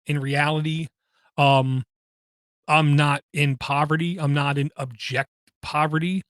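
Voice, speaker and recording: A man hosting a podcast, talking through personal matters in a halting way, with many pauses and fillers and an occasional stutter. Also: fairly high pitch, fairly even intonation, a bit bright, no echo, clean studio sound. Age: adult, has kids. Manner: halting, frequent ums and uhs, slight stutter. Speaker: podcast host. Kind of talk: personal monologue.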